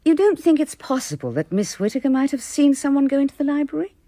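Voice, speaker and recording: An actress playing a composed, well-bred English married hostess in a radio drama, genteel, deliberate and subtly evasive. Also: female voice, sits high, big pitch swings, mellow, no echo. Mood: suggestive, guarded.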